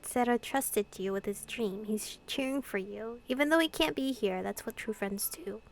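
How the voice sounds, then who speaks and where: A woman reading visual novel dialogue and narration aloud in a casual, expressive way. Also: fairly high pitch, moderate pace, vocal fry, slight background noise. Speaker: playthrough host reading translated dialogue.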